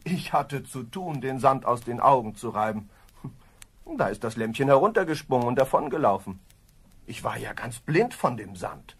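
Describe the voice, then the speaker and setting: An actor playing a lazy, simple-minded, cheerful young farm lad in a children's fairy-tale radio play, with a naive drawl. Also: male voice, medium pitch, lively intonation, moderate pace, breathy edge. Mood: sheepish.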